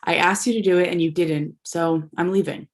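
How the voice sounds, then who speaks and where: A woman reads a girlfriend in her thirties who is leaving and is done arguing. She sounds cool and matter-of-fact, with a slightly low voice. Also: steady tone, brisk, close to an everyday voice. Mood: cold.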